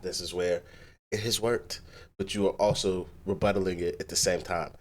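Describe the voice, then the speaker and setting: Male reaction host, low voice, casual and profane battle commentary. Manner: animated, swearing, pauses clip to comment.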